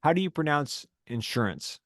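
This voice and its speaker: A man's voice: on the high side, very animated, normal speed, no echo, studio quality. A young man co-hosting a podcast in easygoing casual chat, asking questions and steering the talk. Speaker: podcast co-host.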